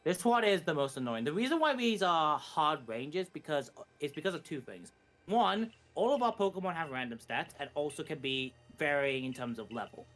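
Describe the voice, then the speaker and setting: A man's voice: on the high side, expressive, moderate pace, fairly bright tone. A man giving casual, jokey live commentary on a speedrun, often repeating and rephrasing himself.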